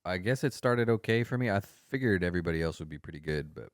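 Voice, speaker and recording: Very deep-voiced laid-back man in his thirties. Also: animated, quick pace, dry recording, studio quality. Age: 30s. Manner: laid-back, trailing fillers and profanity. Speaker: podcast co-host. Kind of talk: casual chat.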